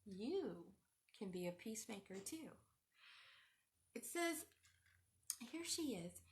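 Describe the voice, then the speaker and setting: A woman's voice: fairly high pitch, big pitch swings, slow, slight background noise. A woman hosting a children's storytime, reading a picture book aloud in a sing-song teacher voice and asking the children questions.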